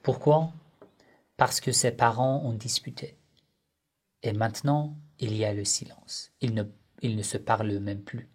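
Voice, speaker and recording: A man giving a religious lesson on family life, speaking in short, measured phrases and asking rhetorical questions that he then answers himself. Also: medium pitch, husky. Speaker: religious teacher.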